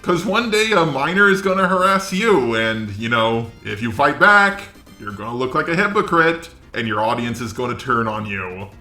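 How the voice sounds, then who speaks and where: A man's voice: medium pitch, expressive, moderate pace, few pauses, sharp tone, echoey room, faint music behind. A man delivering blunt, sweary, opinionated commentary as a video host, often asking rhetorical questions. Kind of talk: opinionated drama commentary, talking to camera audience.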